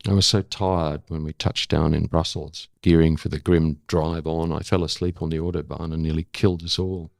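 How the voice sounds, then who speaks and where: Older aussie guy, really deep gravelly voice, sounds dry and close up. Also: flat delivery, normal speed, hardly any pauses, darkish tone, no room sound. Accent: Australian. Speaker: interview guest, former foreign correspondent. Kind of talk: dramatic spoken-word recital of own prose, then reflective conversation.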